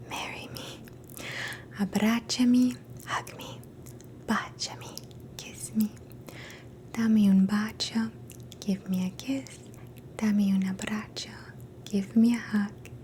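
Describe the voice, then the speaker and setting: A woman in a soft, gentle, mostly unvoiced whisper, slowly teaching phrases in a relaxing language lesson and repeating each one. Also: mid-range voice, a little hiss or hum. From Canada. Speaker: ASMR host teaching phrases.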